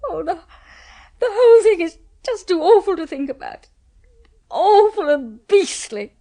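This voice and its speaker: An actress playing a distressed, resentful but dutiful widow in a 1970s radio drama, theatrical and exclamatory. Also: female voice, very high voice, big pitch swings, a little slow, warm tone, heavily acted. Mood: indignant, distraught.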